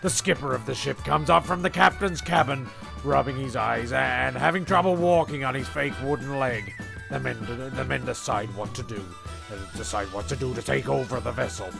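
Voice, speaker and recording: Very gravelly rambling male narrator over music. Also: mid-range voice. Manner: halting, repeats phrases. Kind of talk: rambling comic narration in amateur audio drama.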